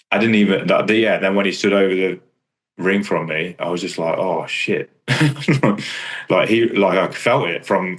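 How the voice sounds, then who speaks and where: A man, chatting casually in a rambling, understated, self-deprecating way as a fighter and coach. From England. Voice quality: deep voice. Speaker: kickboxer and striking coach. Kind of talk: casual interview chat about own career.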